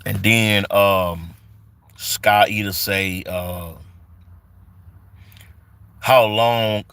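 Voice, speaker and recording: A man's voice: lowish voice, fairly even intonation, drawn-out words, a bit bright, clear, resonant tone, dry recording. A man in his forties on a casual live stream, reading viewers' comments aloud and greeting them in a loose, repetitive way full of fillers. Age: 40s.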